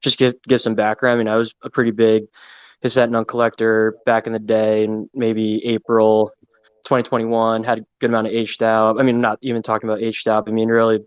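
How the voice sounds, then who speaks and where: A man's voice: on the low side, monotone, fairly quick, clear, resonant tone, no room sound, highs cut off. A man speaking off the cuff in a casual online discussion, hedging often with a conversational manner. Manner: hedging, conversational, heavy use of "I mean". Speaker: audience participant in a live audio discussion. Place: live online audio room.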